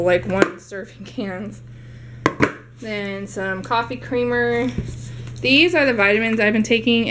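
A woman's voice: mid-range voice, background noise. A young woman chatting casually at home as she shows off her grocery haul to a handheld camera. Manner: chatty, rambling show-and-tell. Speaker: vlogger. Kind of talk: casual haul video narration. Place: home living room.

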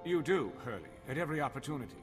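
A man's voice: medium pitch, sing-song, racing, natural voice. A man playing a grouchy, cautious, exasperated pilot officer in a video game, stern and disapproving. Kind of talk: video game cutscene acting. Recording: loud music behind.

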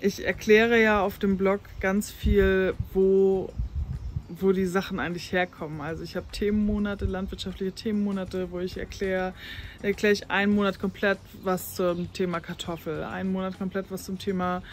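A woman's voice: medium pitch, flat delivery, moderate pace, a little hiss or hum. A woman, a farm life blogger talking casually outdoors on a farm, with many fillers and restarts. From North Frisia, northern Germany. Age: 30s. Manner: chatty, with many fillers and restarts, laughs easily. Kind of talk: casual interview talk. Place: outdoors on a farm lawn by a brick farmhouse.

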